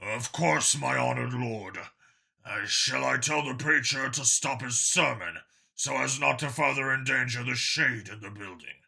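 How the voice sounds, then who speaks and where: A man as a subservient, raspy satyr underling. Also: mid-range voice, measured pace, sharp tone, heavily acted. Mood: deferential. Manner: formal, subservient, hesitant. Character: deferential alien satyr soldier, obedient underling. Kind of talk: audiobook character voice.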